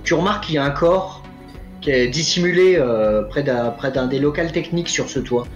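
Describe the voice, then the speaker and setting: A man narrating as game master, with frequent fillers and pauses. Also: medium pitch, dull audio, faint music behind. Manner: hesitant, many fillers.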